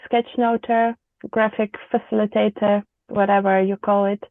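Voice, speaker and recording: A woman's voice: mid-range voice, flat delivery, normal speed, no echo. Woman, 30s, Ukrainian accent, careful non-native English, guest on a remote call; tinny line, dry sound. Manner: thoughtful, searching for words. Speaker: guest, visual practitioner. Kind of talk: conversational interview.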